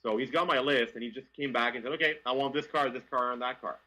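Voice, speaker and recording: Guest, male, Quebec French accent, slightly breathy, animated storyteller with stammered restarts. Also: medium pitch, fast talker, poor audio. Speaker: guest, car reviewer. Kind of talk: casual interview storytelling.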